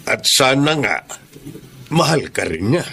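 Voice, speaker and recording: Gravelly, fond middle-aged father. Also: medium pitch, big pitch swings, takes it slowly, exaggerated voice. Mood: fond, gently probing. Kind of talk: Filipino radio drama acting. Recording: noisy background ambience.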